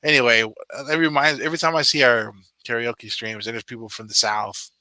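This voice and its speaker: Bright-voiced, easygoing man. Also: mid-range voice, steady tone, brisk, no room sound. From California. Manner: easygoing, laughs often between sentences. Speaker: channel member guest. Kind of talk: casual chat on a livestream.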